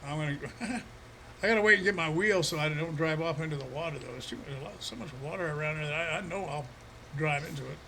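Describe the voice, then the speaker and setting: Relaxed man streaming a farming game: froggy throat, fairly high, bright voice, desk mic, faint hiss. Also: fast. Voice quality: froggy, slightly hoarse throat. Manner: easygoing, chuckling, talks to chat by name. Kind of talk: casual live-stream chat while playing a farming game.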